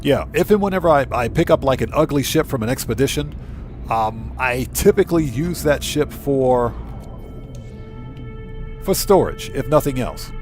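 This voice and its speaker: A man with a medium-pitched voice talks casually as a live streamer. He gives loose gameplay commentary while game music plays behind him. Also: animated, moderate pace, darkish tone.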